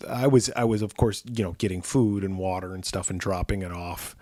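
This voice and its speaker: A man in his forties, hoarse and raspy after being ill, co-hosting a podcast in casual chat, rambling with fillers and restarts. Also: deep voice, brisk, dark tone, no room sound. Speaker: podcast co-host.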